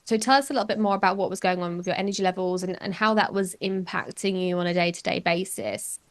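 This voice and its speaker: A woman's voice: mid-range voice, fairly even intonation, quick pace. A woman hosting a podcast, narrating a scripted intro and then interviewing a guest with encouraging questions. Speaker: podcast host.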